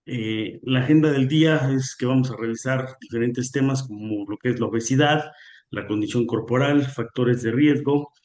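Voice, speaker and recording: A man's voice: medium pitch, moderate pace, darkish tone. A man giving an online conference lecture, hesitant with many fillers and a slightly sore throat. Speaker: guest lecturer on equine nutrition. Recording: roomy echo.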